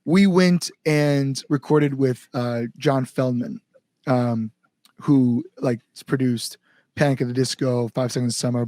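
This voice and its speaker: A man's voice: medium pitch, mellow, dry recording. Man, mid-range voice, casual podcast guest, rambling storyteller, dry sound. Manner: rambling storyteller, frequent likes, acts out inner thoughts. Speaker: guest musician, band front-man.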